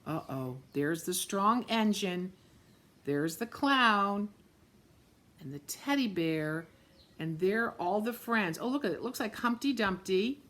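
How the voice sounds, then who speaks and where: A woman reading a picture book aloud to children, stopping to point out the pictures with gentle asides. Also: medium pitch, animated, takes it slowly. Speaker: storyteller.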